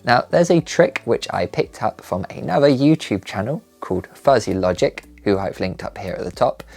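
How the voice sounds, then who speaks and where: Casting: male tutorial narrator, lowish voice, scripted step-by-step delivery, light background music. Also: very animated, moderate pace, gravelly, darkish tone. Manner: methodical, instructional. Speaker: maker channel presenter.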